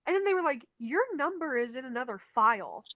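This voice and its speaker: A woman's voice: high voice, a little fast, no room sound, studio quality. A young woman with a Chicago accent, a podcast co-host telling stories in casual chat and acting out the quotes. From Chicago, United States. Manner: animated storyteller acting out quotes. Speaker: podcast co-host, concert photographer.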